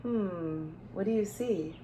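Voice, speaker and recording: A woman's voice: mid-range voice, takes it slowly, mellow, slight background noise. A woman teacher reading a children's storybook aloud, expressively, with questions and asides addressed straight to young listeners.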